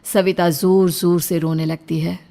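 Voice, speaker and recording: A woman's voice: fairly low pitch, level tone, measured pace, warm tone. A woman narrator reading a scripted horror story. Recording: faint music behind the voice.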